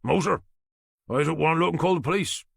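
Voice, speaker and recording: Guy doing a loud high-ish norfolk old boatyard fella, very sir-this sir-that, dry close sound. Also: a little fast, gravelly, breathy edge, no room sound, exaggerated voice. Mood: respectful. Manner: deferential, says "sir" often. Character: gruff older male boatyard worker.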